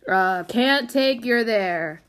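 A woman's voice: on the high side, lively intonation, slow pace. Woman doing a growly rustic carter man. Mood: surly. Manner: rustic, folksy speech. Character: sour, growling male carter, softened by flattery.

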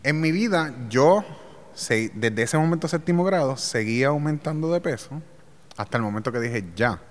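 A man's voice: a little high, expressive, moderate pace, in a large hall. A man hosting a casual interview, chatty and laughing often, mixing in English words. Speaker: podcast host.

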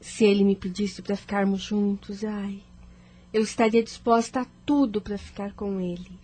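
A woman's voice: mid-range voice, steady tone, moderate pace, warm tone, lightly acted. An actress playing an impulsive, pleading, lovestruck office woman in an emotive radio soap style. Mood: wistful.

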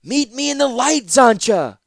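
A man voicing a desperate young male ally who shouts urgent pleas in a storybook reading. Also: extremely high pitch, big pitch swings, brisk, no room sound, studio quality, voice pushed up. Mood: frantic shouting. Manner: shouting, pleading.

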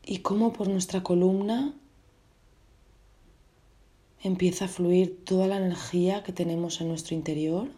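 Low, mellow woman guiding meditation. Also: steady tone. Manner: gentle, unhurried instructing in short phrases with pauses. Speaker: meditation guide. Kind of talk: guided meditation.

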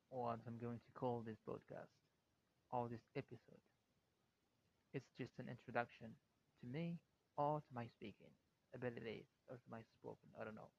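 A man from Morocco, a Darija speaker, talking in an unscripted solo podcast, hesitant and waffling as he searches for words. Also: vocal fry. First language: Moroccan Arabic (Darija). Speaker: podcast host. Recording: dull, muffled recording with faint rumble.

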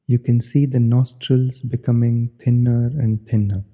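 A man leading a guided meditation as an instructor, giving measured, step-by-step directions. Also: mid-range voice, flat delivery, mellow. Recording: long hollow reverb.

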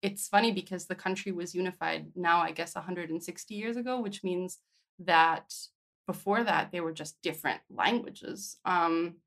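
A woman's voice: lowish voice, normal speed, creaky, a bit bright. A woman interview host speaking in a thoughtful, curious, conversational way. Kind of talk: conversational interview with scripted introduction.

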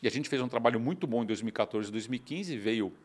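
A middle-aged man from São Paulo, telling his story as a guest in a casual conversation, animated and often restarting. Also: medium pitch, quick pace. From São Paulo, Brazil. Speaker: guest entrepreneur.

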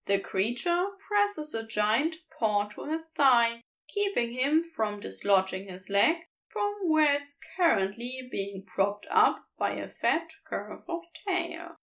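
Slow, lively-voiced woman storyteller. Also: a little high, rough recording. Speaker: podfic reader. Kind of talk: audiobook narration of fan fiction.

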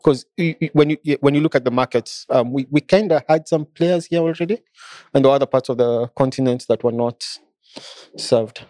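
A man's voice: mid-range voice, fairly quick, warm tone, no echo. A man hosting a podcast, speaking hesitantly with many fillers. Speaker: podcast host. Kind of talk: casual interview hosting.

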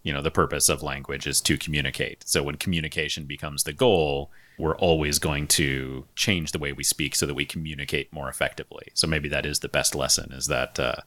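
A man's voice: extremely low pitch, a little fast, few pauses. A man hosting a casual podcast, chatting easily and reading out listener topics and news items. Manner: relaxed, conversational, reads out topics. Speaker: podcast host.

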